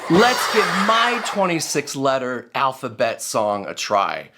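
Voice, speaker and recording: Unhurried man, musical guest for kids. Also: medium pitch, huge pitch swings, very noisy. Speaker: musician guest on a children's show. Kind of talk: casual, playful talk to children.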